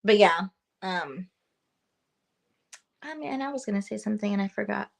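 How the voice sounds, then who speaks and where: Woman guest just chatting, laughs a lot, says like constantly, mid-pitch voice. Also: expressive, moderate pace, gravelly. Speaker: paranormal investigator guest. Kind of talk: casual interview chat.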